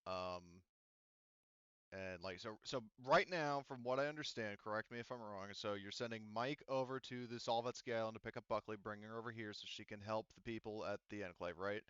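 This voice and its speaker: A man running a tabletop roleplaying game as game master, casual and quick to laugh, talking through fillers. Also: on the low side, a bit bright, clean tone, dry recording, dull audio.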